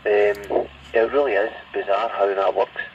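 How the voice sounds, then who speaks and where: A man's voice: fairly low pitch, steady tone, unhurried. A man with a Glasgow-area Scottish accent rambling conversationally over a CB radio, heard through the set's speaker. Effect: CB radio transmission. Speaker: CB radio operator. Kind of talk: casual radio chat. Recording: muffled, distant, noisy CB radio speaker in car.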